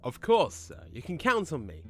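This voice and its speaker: A man's voice: a little high, huge pitch swings, normal speed, fairly bright tone, faint music behind. A man playing an eager, loyal ghost underling in an audio drama. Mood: deferential. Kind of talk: scripted audio drama acting.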